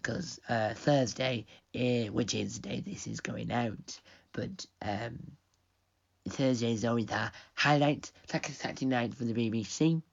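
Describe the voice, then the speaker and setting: A young man with a gravelly, fading voice rambles from one aside to the next in a pre-recorded radio intro. The sound is dull and lo-fi. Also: medium pitch, unhurried. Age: young adult. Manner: rambling, digressive. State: losing his voice. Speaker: radio station owner.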